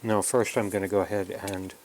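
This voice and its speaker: A man's voice: deep voice, little pitch movement, a little fast, darkish tone, a little hiss or hum. A man narrating a casual hands-on electronics tutorial, plainly explaining each step as he works. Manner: plain, explanatory, talking through each step while working. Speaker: host of an electronics kit build tutorial.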